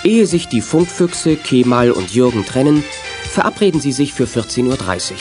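A man's voice: mid-range voice, very animated, moderate pace. Male narrator over music. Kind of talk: scripted storytelling narration.

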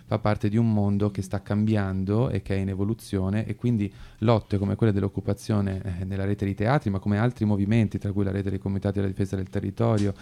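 Low, creaky-voiced man, halting commentary. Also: little pitch movement, warm tone. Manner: halting, hesitant, searching for words. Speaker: radio commentator. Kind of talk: political commentary, semi-improvised.

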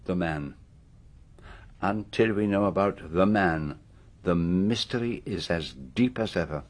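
An actor playing a shrewd, formal detective with a Belgian French accent in a radio drama, questioning precisely and deliberately in a husky voice. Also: male voice, low voice. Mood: pensive.

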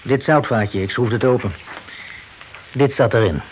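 An actor playing an eager, easygoing junior police officer in a radio drama, informal and obliging. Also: male voice, mid-range voice, unhurried, natural voice. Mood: alert. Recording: dull, noisy old radio play recording.

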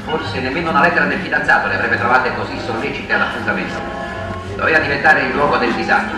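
Male narrator, 1950s newsreel delivery, very reverberant distant soundtrack with loud music underneath. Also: medium pitch, quick pace.

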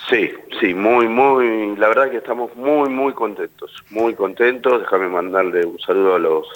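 Man, low voice, Argentine accent, casual interview guest, actor, halting repeated phrasing. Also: poor audio. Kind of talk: casual radio interview talk.

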